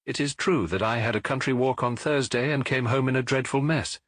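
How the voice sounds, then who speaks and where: A man voicing a good-natured gentleman doctor in an audiobook, the detective's loyal friend, earnest and incredulous. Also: mid-range voice, a little fast, no room sound, clean studio sound, pitched above the performer's own voice, lightly acted. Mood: baffled, exasperated.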